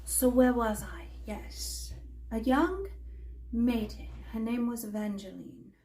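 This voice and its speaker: A woman playing an imperious, mischievous older magical storyteller, telling fantasy tales in a theatrical, haughty way with deliberate pauses. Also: on the high side, warm tone, slight background noise. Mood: wistful, storytelling. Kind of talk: in-character fantasy storytelling roleplay.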